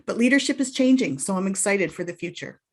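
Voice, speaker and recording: Podcast guest, a woman, mid-pitched, earnest and impassioned, talks with restarts. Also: expressive, fast talker, a little mellow. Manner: earnest, passionate, with frequent restarts. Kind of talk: personal storytelling in an interview.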